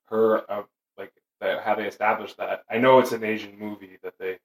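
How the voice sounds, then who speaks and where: A man's voice: fairly low pitch, flat delivery, a little fast, rough recording. A man in casual chat who meanders and searches for words as he talks.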